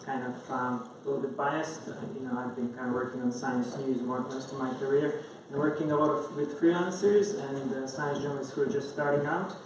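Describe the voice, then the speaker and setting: A very echoey, far-off recording of a man presenting at a conference. He explains in an informal, hesitant and loosely structured way, and the sound is somewhat dull. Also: medium pitch. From Croatia. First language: Croatian. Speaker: conference speaker, science journalist. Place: indoor conference room. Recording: very echoey room, distant mic, dull, faint noise.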